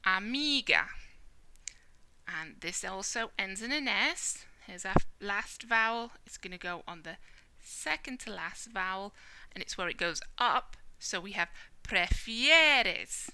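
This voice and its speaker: A British woman teaching a Spanish lesson, explaining clearly and checking in with the listener as she goes. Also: mid-range voice, animated, takes it slowly, bright, forceful tone, dry recording. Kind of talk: whiteboard language lesson.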